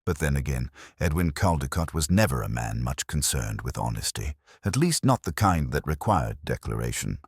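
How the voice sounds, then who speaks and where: A man narrating a first-person detective story in a measured, literary, brooding manner with a husky voice. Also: extremely low pitch, big pitch swings, no echo, clean studio sound.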